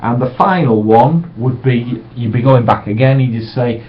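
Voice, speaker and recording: A man's voice: mid-range voice, moderate pace, a little hiss or hum. A middle-aged man, giving a casual, reassuring sales training talk from a small room. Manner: relaxed, reassuring, acts out sample voicemails brightly. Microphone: webcam microphone. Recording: dull lo-fi webcam audio.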